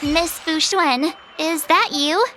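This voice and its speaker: Plucky young game girl, casual and hesitant, unhurried pace, lively squeaky-high delivery. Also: noisy background. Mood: puzzled. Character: perky, girlish young woman, plucky and easily flustered. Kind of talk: scripted video game voice acting.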